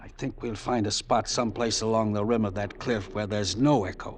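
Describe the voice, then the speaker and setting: An actor playing a ruthless, scornful crime boss in an old-time radio drama, giving orders with cutting authority. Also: male voice, on the low side, fairly even intonation, brisk, close to an everyday voice. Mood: calculating, commanding.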